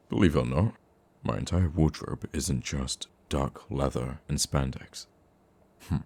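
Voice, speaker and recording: A man playing a gruff, teasing supervillain with a secret soft side in an intimate ASMR roleplay, dry and grumbling but affectionate. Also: full character voice. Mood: bossy, teasing, then gruff, caring. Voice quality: very deep, gravelly.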